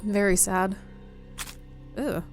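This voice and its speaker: A woman's voice: mid-range voice, fairly even intonation, very slow, soft background music. A woman giving casual playthrough commentary on a game, musing and thinking aloud a little hesitantly. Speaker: gaming commentator.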